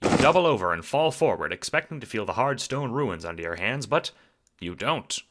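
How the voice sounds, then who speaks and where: A man giving dramatic, wry scripted narration for an audio drama, theatrical and expressive. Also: medium pitch, a little fast.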